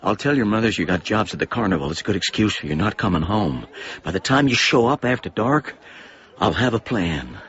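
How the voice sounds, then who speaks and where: A middle-aged small-town librarian and worried father in a radio play. His lowish voice moves expressively, earnest and hesitant. The sound is dull, with faint music. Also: big pitch swings, fairly quick, fairly bright tone. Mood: urgent, conspiratorial. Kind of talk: radio drama acting and first-person narration.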